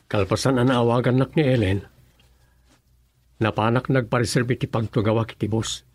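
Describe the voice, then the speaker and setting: An actor as an earnest young man in a radio drama. His mid-pitched voice is husky and dry, and he sounds concerned and questioning. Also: steady tone, moderate pace, no echo, lightly acted.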